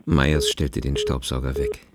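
A man's voice: rumbling low, wildly swooping pitch, a little slow, heavy background noise. A man reads literary narration for a radio play.

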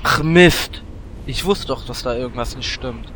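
An actor playing a vain, scheming gnome warlock in a comedic fantasy audio drama, animated and self-absorbed. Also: male voice, mid-range voice, unhurried, a little hiss or hum, natural voice. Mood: annoyed.

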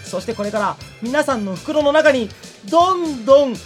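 High, theatrical anime girl announcer. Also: expressive, moderate pace, faint music behind. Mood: teasing. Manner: theatrical, teasing, grandiose. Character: gleeful, theatrical schoolgirl broadcast club announcer. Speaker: contest announcer. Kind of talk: mock-dramatic anime radio show announcing.

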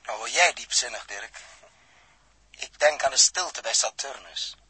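Radio play role: an earnest astronaut companion, very tense bright tone, heavily husky, inquisitive. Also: male voice, mid-range voice, lively intonation, measured pace, no echo, exaggerated voice. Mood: curious, calm. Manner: earnest, explanatory, questioning. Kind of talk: 1960s radio drama acting.

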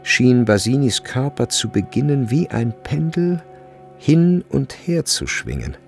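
A man's voice: medium pitch, big pitch swings, unhurried, creaky, soft background music. A man narrating a literary story as part of a radio play.

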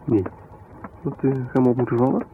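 An actor playing an easygoing, slightly defensive husband in a naturalistic radio drama, talking in a casual, evasive way. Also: male voice, medium pitch, brisk, lightly acted. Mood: puzzled, questioning. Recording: muffled, phone-like 1970s radio recording, noisy.

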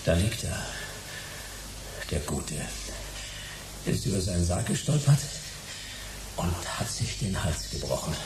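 Hard-boiled detective, low pitch, terse, dry, lively intonation, radio play ambience. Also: male voice, a little slow, pauses often, noisy background, heavily acted. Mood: wary, dry. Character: male private detective in a sci-fi crime story, dry, stubborn. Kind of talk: German radio play dialogue.

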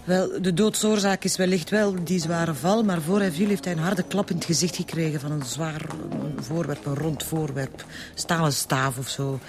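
An actress playing a sober Flemish doctor, clinical and slightly hesitant, in a radio drama. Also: female voice, sits low, normal speed, over music, lightly acted. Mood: grave. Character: sober female doctor reporting findings.

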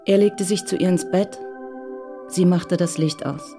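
A woman reading scripted narration for a radio crime drama in a composed, measured way. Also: lowish voice, steady tone, music in the background.

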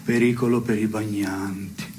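An actor playing a deadpan, solemn man in a theatre dialogue, speaking deliberately with halting pauses. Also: lowish voice, natural voice. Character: deadpan man solemnly pondering what should die. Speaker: stage actor. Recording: clearly noisy background.

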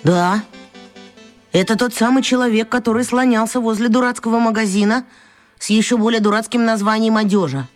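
A woman's voice: on the high side, fairly even intonation, a little fast, soft background music, lightly acted. Woman doing a schoolboy muttering to himself, baffled and suspicious, radio play for kids. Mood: puzzled pondering. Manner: pondering, grumbling inner monologue. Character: bewildered, suspicious man trapped in a schoolboy's body. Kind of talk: children's radio play acting.

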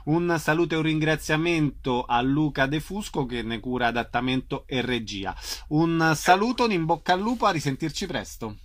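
A man's voice: a little high, normal speed. A man hosting a radio show, presenting events and interviewing guests. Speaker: radio host.

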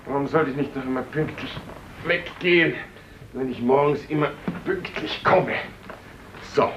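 A man plays a nervous office supervisor in an old radio drama, hesitant and evasive. His voice sits at a medium pitch, with faint noise from the 1950s recording around it. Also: moderate pace, fairly bright tone, lo-fi sound, natural voice. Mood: indignant, fussy. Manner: hesitant, fussy, distracted. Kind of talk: 1950s radio play acting.

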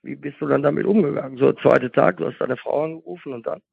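A man with a husky voice, hosting a conversational interview as a therapist and explaining emphatically through questions he answers himself. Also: on the high side, fast talker, dry recording. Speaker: host and stuttering therapist. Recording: phone-like, band-limited sound.

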